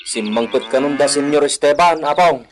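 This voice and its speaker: A man's voice: on the high side, normal speed, faint music behind, lightly acted. A man with a Filipino accent playing a respectful, earnest younger ranch hand in a radio drama.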